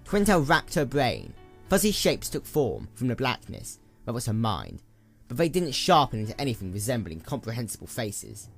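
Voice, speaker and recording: A man's voice: medium pitch, huge pitch swings, moderate pace, gravelly, soft background music. A man reading scripted fiction as a dramatic story narrator. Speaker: audiobook narrator.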